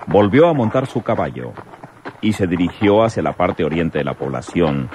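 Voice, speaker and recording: A man's voice: extremely low pitch, background noise. A man with a Mexican accent delivering dramatic scripted narration for an old radio drama. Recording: old radio broadcast recording.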